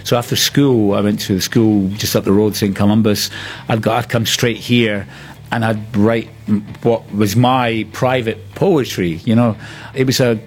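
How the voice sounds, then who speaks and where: Reflective man, lowish voice. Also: fairly even intonation, moderate pace, a little mellow. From Scotland. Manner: thoughtful, hesitant with "uh" and "you know". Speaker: interviewee. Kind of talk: reflective reminiscing. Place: indoors in a museum.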